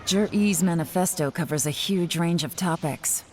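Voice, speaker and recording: A woman playing a shrewd, composed intelligence investigator in a video game, measured, analytical and self-assured. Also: lowish voice, slight background noise, lightly acted. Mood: businesslike. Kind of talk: video game character dialogue. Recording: game dialogue with music underneath.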